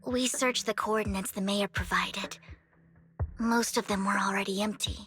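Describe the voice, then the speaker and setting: A woman's voice: mid-range voice, fairly even intonation, moderate pace, fairly bright tone, close to an everyday voice. A woman playing an earnest, worried, sentimental young heroine in a video game. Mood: subdued, sad. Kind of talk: scripted video game voice acting. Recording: game music clearly behind the voice.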